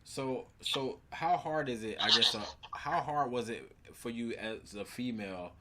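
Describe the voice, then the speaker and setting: A man's voice: mid-range voice, measured pace, pushed and bright. Man asking loose, rambling questions. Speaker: interviewer. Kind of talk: casual interview.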